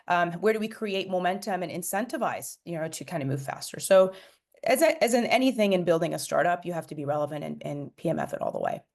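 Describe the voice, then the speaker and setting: A woman's voice: fairly low pitch, animated, fast talker, vocal fry, darkish tone. A woman in her late forties, born in Egypt, talking about her career as a startup chief executive in a podcast interview, fluent but with frequent fillers and restarts. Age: late 40s. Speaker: startup CEO guest.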